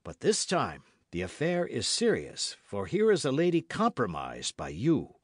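A man voicing a refined young musketeer in an audiobook, measured, formal and coldly polite with a cutting edge. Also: medium pitch, huge pitch swings, slightly rough, no room sound, studio quality, heavily acted. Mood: haughty, restrained. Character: cool, haughty, refined young musketeer gentleman. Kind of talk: audiobook character acting.

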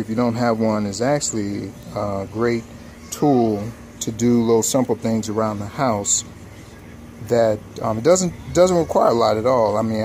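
Low-voiced man filming his yard work. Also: slight background noise. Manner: relaxed, rambling, many fillers and restarts. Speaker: home improvement vlogger. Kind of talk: casual filming-as-he-works walkthrough. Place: outdoors in a home front yard. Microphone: handheld phone camera.

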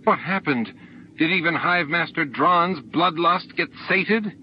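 A man's voice: mid-range voice, normal speed, a little hiss or hum, voice pushed up, lightly acted. A man voicing an emotional, accusing female alien in an audiobook. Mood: accusing fury. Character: anguished, furious female alien with a shell. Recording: dull lo-fi audiobook tape recording.

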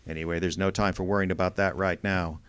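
An actor playing a world-weary, wry private detective in a radio drama, blunt and dry in manner. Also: male voice, low-pitched, little pitch movement, fast talker, fairly bright tone, smooth. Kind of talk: old-time radio detective drama acting.

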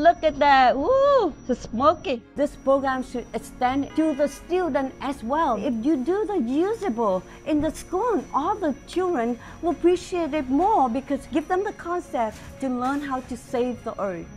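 A woman's voice: high voice, expressive, measured pace, hardly any pauses, soft background music. A middle-aged woman who owns a restaurant, telling her story with heartfelt enthusiasm in her dining room. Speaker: restaurant owner. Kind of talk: interview testimonial.

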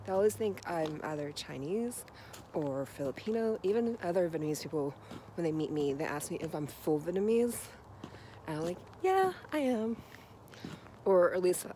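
Low voiced woman vlogging on her phone, chatty, keeps correcting herself, noisy outside. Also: a little mellow. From United States. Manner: chatty, self-correcting, recorded at arm's length while walking and riding. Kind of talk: casual vlog chat. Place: outdoors and in a car. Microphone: phone at arm's length. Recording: noisy phone recording outdoors.

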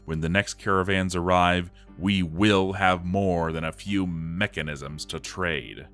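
A man's voice: deep voice, steady tone, unhurried, a bit bright. A man voicing a gloomy, scornful dwarf settlement leader, reading his journal aloud in a theatrical, emphatic way. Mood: grim, dramatic. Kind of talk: dramatic journal narration over a game. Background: music.

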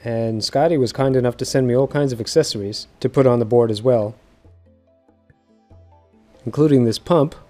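A man in his thirties casually narrating a how-to project on a docked boat, easygoing and quick to laugh at himself. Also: mid-range voice, mellow, soft background music. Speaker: fishing video host.

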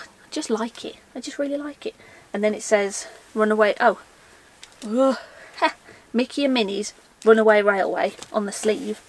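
A young woman with a fairly high voice chats through a haul vlog in a casual, self-mocking way. She asks herself questions aloud and talks as though to friends. She is recorded indoors in a shelf-lined room by a camera set just in front of her. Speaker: vlogger.

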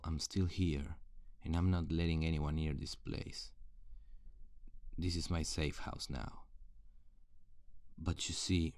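A man playing a wary, threatening survivor of a zombie apocalypse in an ASMR audio roleplay, gravelly and menacing as he interrogates. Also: extremely low pitch, darkish tone, no echo, clean studio sound. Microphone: close, dry studio-style microphone.

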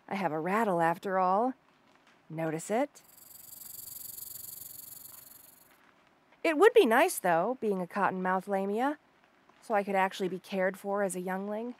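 A woman's voice: medium pitch, lightly acted. A woman voicing an obsessive, lovestruck rattlesnake lamia in an intimate audio roleplay, gushing and hesitant with coy stammers. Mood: chatty, admiring. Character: obsessive lovestruck female rattlesnake lamia, shy yet unsettling.

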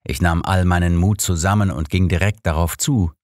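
A man's voice: low-pitched, steady tone, normal speed, darkish tone, no echo. A man narrating a scripted first-person story as an audiobook reader, close on a studio microphone.

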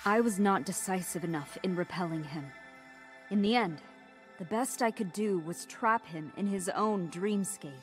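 Woman playing gentle solemn dream guardian sheep, formal game cutscene voice, music behind. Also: medium pitch, natural voice. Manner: measured, formal, grave. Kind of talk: video game cutscene acting.

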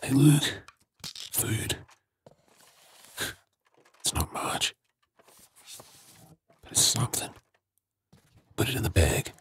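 Wary Australian male survivor, acted by a man for zombie ASMR drama: breathy, husky whispering in slow, terse phrases. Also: mid-range voice, sing-song, exaggerated voice. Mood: focused, relieved. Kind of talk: whispered ASMR role play.

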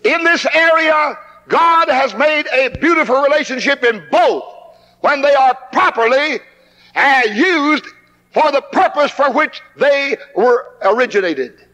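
A man's voice: very high-pitched, animated, a little slow, bright, forceful tone. A man preaching a 1960s camp meeting sermon, fervent and emphatic, recorded on old tape in a hall.

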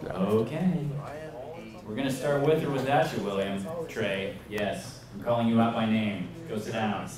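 A man's voice: lowish voice, unhurried, a crowd in the background. A man introducing the next speaker at a meetup, joking and calling out audience members by name. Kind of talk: casual introduction. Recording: echoey room, far from the mic.

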